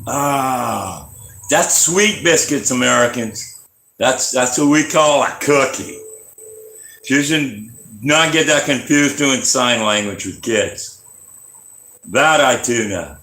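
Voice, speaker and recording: Older man, bright voice in a roomy space. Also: mid-range voice, expressive, slow. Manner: rambling, dry humor. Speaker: musician hosting a live music stream. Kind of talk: casual chat between songs.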